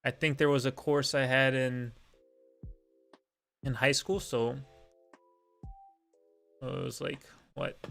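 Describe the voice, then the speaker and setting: Gravelly young man chatting on a live stream. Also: mid-range voice, flat delivery, slow pace, pauses often, fairly bright tone, soft background music. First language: Lithuanian. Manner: rambling and self-deprecating, many fillers. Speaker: streamer hosting a Q and A. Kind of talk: casual live-stream chat.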